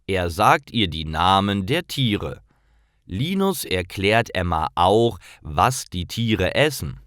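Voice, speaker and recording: A man narrating a scripted story for beginning language learners, in measured, simple phrases. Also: sits low, sing-song, fairly bright tone, dry recording, studio quality. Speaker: narrator of German learning stories.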